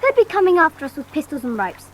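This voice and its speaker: Little kid, super high bouncy voice, sceptical know-it-all, bit of hum behind it. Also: female voice, very animated, fast. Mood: alarmed. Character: chirpy, sensible, sceptical child. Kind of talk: dramatised audiobook acting.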